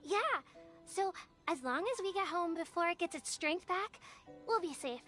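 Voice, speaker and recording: Very high plucky child. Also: female voice, expressive, moderate pace, pushed and bright, soft background music, heavily acted. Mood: hopeful. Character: trusting, plucky young village child. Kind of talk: anime video game voice acting.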